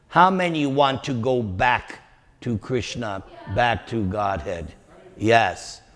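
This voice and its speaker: A man with a New York accent preaches to a congregation, pressing his points home by repeating phrases and asking the audience questions. His voice sits a little high, and he speaks slowly. A long reverb trails each line, as if he is in a large hall. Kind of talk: devotional lecture to a congregation.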